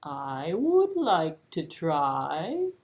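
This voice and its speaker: A woman voicing a patient, determined tortoise in a puppet-show tale, drawing out words slowly and deliberately. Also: deep voice, huge pitch swings, a little mellow, heavily acted. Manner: slow drawn-out deliberate speech. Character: slow, patient, determined tortoise, unhurried and persistent.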